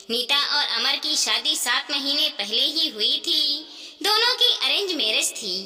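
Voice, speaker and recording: A woman's voice: high voice, sharp tone. A woman reading a scripted moral story as its narrator. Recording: long hall-like reverb.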